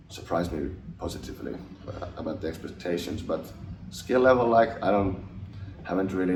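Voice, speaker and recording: Young hockey guy from finland, low gravelly voice, kinda hesitant, lots of uhs, distant mic in an echoey noisy room. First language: English and Finnish. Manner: relaxed, hesitant, many fillers and restarts. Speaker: ice hockey forward, interviewee. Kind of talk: casual interview answers.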